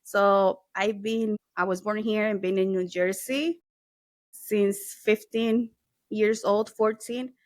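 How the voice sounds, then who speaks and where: A woman's voice: mid-range voice, steady tone, slow pace, dry recording. Woman, dry close sound. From El Salvador. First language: Spanish. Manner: friendly, mixes in Spanish words. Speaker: guest, SEO consultant. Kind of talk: casual interview talk.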